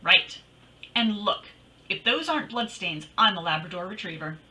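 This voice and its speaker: Wisecracking lady private eye woman acting, bright and really animated, radio play, bit distant from the mic. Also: mid-range voice, normal speed. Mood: wry. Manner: wry, snappy. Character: wisecracking, sassy female private detective. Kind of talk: old-time radio detective drama, first-person narration.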